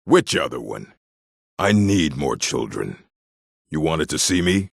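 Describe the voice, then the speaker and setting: Gruff proud orc from a fantasy game, super gravelly, blunt and stern, really swings up and down. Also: male voice, very animated, measured pace, dry recording, heavily acted. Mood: plain, brooding. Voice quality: deep, gravelly, husky. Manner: blunt, stern, terse, solemn. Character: gruff, proud, hostile male orc of a stronghold. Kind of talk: fantasy video game character lines.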